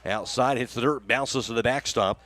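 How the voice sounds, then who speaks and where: A man's voice: mid-range voice, moderate pace, fairly bright tone. An American man doing live radio play-by-play of a baseball game as the announcer. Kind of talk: live sports commentary.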